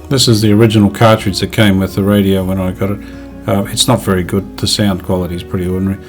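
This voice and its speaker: Low-voiced man narrating a hands-on workshop video. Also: flat delivery, fast talker, soft background music. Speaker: restoration hobbyist presenting his own video.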